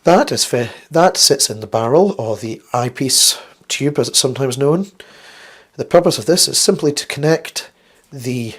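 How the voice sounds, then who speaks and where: A man with a slightly croaky, flu-worn voice hosts a casual instructional science vlog, chatty and rambling with wry, self-deprecating asides. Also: medium pitch, animated, moderate pace. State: getting over the flu. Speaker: host of a science video series.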